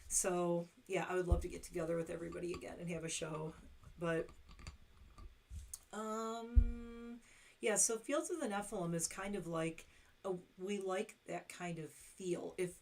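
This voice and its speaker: Woman, middle-aged, hoarse, losing her voice, rambling livestream chat, slightly low voice. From Detroit. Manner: rambling, chatty, lots of fillers. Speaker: livestream host.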